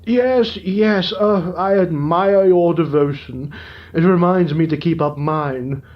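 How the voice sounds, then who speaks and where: A man's voice: fairly high pitch, takes it slowly. A man voicing a lovesick old townsman groaning about his gout, with a theatrical, fawning manner, in an audio drama. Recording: dull lo-fi recording.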